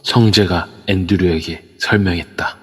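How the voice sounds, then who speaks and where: A man narrating an amateur audio drama in steady storytelling form. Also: deep voice, unhurried, breathy edge. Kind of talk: scripted narration. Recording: reverb-heavy online audio.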